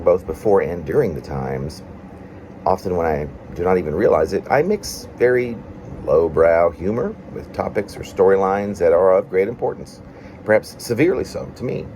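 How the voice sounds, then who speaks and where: A man with a very low voice reads his own reflective poem aloud. His intonation moves around a lot as he goes through formal, halting phrases. A steady background hum runs under the amateur recording. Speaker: poet reading own work. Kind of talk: reading a confessional prose-like poem aloud.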